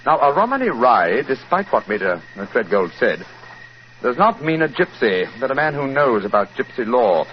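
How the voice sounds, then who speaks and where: An actor playing an assured, urbane English gentleman detective with a British accent, in 1940s radio drama style. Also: medium pitch, very animated, moderate pace, lightly acted. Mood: assured, explaining. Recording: muffled, noisy 1940s radio recording.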